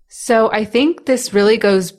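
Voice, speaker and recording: A woman's voice: on the high side, unhurried. A young woman in her twenties who stutters, speaking candidly as an interviewee with restarts, repeated sounds and light laughs. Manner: soft-spoken, laughs between thoughts.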